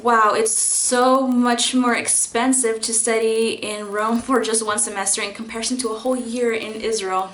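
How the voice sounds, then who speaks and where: A woman's voice: on the high side, fairly bright tone, reverberant, a little hiss or hum, rough recording. A young woman, a college student, sharing her faith story in a personal vlog, speaking earnestly and reflectively with frequent fillers and restarts. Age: young adult.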